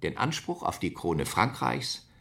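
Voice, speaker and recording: A man's voice: medium pitch, very animated, unhurried, creaky, a bit bright, slight background noise. A man giving historical commentary as an expert in a radio drama.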